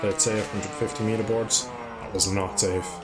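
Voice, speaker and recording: A man's voice: lowish voice, flat delivery, moderate pace, very noisy. A man chatting his way through a live game stream, rambling and swearing when he crashes. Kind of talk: live gameplay commentary.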